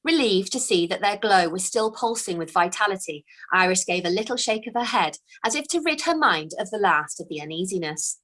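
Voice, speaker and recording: A woman author reading her own fantasy storybook aloud, in a slow, expressive, hushed storytelling manner. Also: medium pitch. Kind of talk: storybook read-aloud narration.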